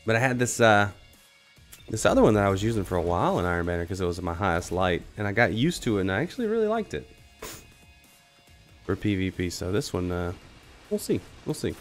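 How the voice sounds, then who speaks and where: A man's voice: on the low side, very animated, fairly quick, pauses often, soft background music. A man streaming a shooter game with casual, joking commentary to viewers, his voice worn out from a cold. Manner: joking, talks to viewers while playing. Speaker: gaming streamer. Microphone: headset or desk mic, no camera.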